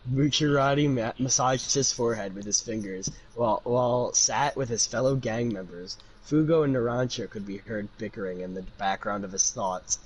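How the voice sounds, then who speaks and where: A man's voice: mid-range voice, moderate pace, few pauses, husky. A young adult man chatting casually and jokingly on a livestream, poking fun at himself and others. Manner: joking, self-mocking banter. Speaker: fan fiction reader and narrator. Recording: noisy amateur livestream microphone.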